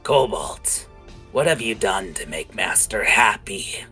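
Scheming male kobold, acted by a game master, forceful and coaxing, falsely friendly, for a fantasy tabletop game. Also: low voice, expressive, slow, breathy edge, faint music behind, exaggerated voice. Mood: sly, coaxing.

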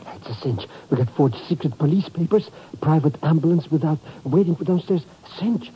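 Man playing lively, jittery sidekick, dull old recording. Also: on the high side, brisk, a little hiss or hum, lightly acted. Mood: cocky. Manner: slangy, jittery. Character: chatty, nervous American sidekick agent, comic and jittery. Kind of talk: 1950s radio drama acting.

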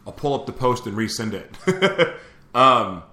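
Male host, mid pitch, earnest preachy delivery, roomy echo. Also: very animated, slow pace, sharp tone. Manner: preachy, repeats questions to listeners. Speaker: show host. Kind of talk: live motivational talk to listeners.

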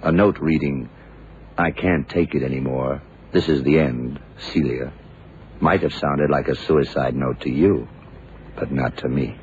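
A hard-boiled detective in an old radio drama, with an extremely deep voice. He lays things out shrewdly and deliberately in clipped sentences. The recording is muffled, with audible background noise. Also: a little mellow. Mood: stern, accusing. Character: shrewd, hard-boiled blind male detective confronting killers. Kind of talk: 1970s radio drama acting.

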